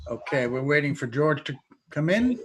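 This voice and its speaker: A man's voice: a little high, big pitch swings, moderate pace, a bit bright, breathy edge, rough recording. A man hosting an interview over a video call, with a friendly, joking manner. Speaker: host.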